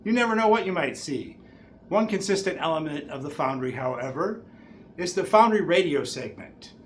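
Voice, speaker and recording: A man's voice: fairly high pitch, expressive, moderate pace. A middle-aged man hosting as a juggler, with theatrical showman patter addressed to an audience. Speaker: juggler and event host. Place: small bare indoor room. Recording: echoey room with background noise.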